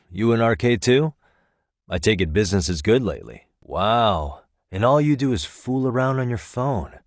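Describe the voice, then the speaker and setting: Sharp male detective, low pitch, American accent, wry, persuasive, casually confident, close dry sound, game dub. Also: no room sound. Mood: taunting, sardonic. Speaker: voice actor in a video game. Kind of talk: English video game dub acting.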